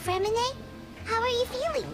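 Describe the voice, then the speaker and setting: A woman's voice: very high voice, fairly quick. A woman voicing a tiny, caring companion creature in a fantasy video game. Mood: concerned, relieved. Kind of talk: scripted video game voice acting. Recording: loud game music behind the voice.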